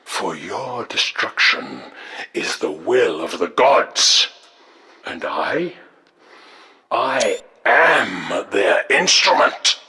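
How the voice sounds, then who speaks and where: Slow, forceful alien prophet zealot. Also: male voice, sits low, big pitch swings, heavily acted. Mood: menacing, exalted. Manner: pompous, theatrical, sermon-like threats. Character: fanatical male alien prophet, grand, menacing zealot. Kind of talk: dramatic video game villain speech.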